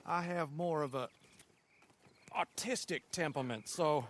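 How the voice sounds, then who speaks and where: A man playing a naive, lovesick young gentleman from a rich family in a video game, with an earnest, petulant manner. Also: fairly high pitch, measured pace. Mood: fretful, earnest.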